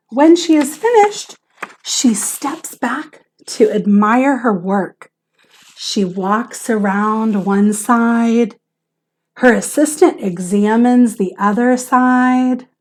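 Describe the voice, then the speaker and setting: A woman teacher reading a children's picture book aloud in a theatrical, expressive way with emphasis on key words. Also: fairly high pitch, slow, poor audio.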